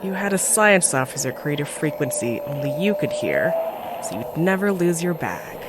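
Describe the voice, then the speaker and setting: An actor playing a calm, teasing companion who lives in someone's head, gentle and unhurried, in an audio drama. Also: mid-range voice, very noisy, lightly acted.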